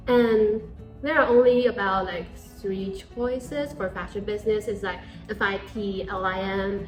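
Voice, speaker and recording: A young woman from Taiwan whose first language is Mandarin hosts a relaxed Q&A in English, dotting her sentences with "like" and loose restarts. Her voice sits mid-range and rings in an echoey room with music underneath. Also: medium pitch. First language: Mandarin Chinese. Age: 20s. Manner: casual, many "like" fillers, switches between Mandarin and English. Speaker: host. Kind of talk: casual Q&A chat.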